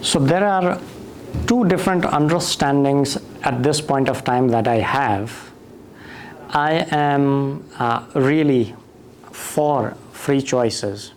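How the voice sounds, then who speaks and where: A man's voice: fairly high pitch, slight background noise. A man, a school director telling his story in a conference interview, thoughtful with fillers and restarts. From Nepal.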